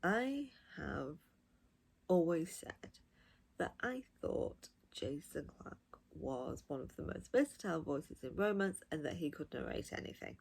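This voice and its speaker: Low-voiced woman, gushing audiobook reviewer. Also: lively intonation, a little slow, creaky, breathy edge, poor audio. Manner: gushing, emphatic. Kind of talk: casual, unscripted spoken review.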